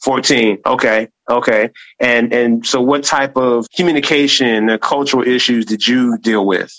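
Man, radio host, halting and repetitive, dry close sound, encouraging. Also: mid-range voice, fairly even intonation, fairly bright tone, no echo, rough recording. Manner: halting, repeats words and restarts often; encouraging, complimentary toward guest. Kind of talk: casual interview.

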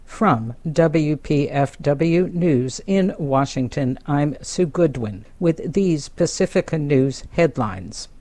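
A female news anchor introducing the headlines on radio. She reads slowly and formally, in a measured newsreader manner. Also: low-pitched, lively intonation, warm tone.

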